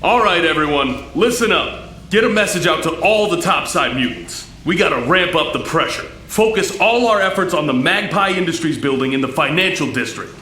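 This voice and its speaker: A mutant rebel leader, earnest and solemn, with a rather high, very expressive voice. He speaks emphatically in a roomy space with faint noise behind, like a cartoon drama hero. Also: moderate pace. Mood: firm, commanding. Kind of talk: animated audio drama acting.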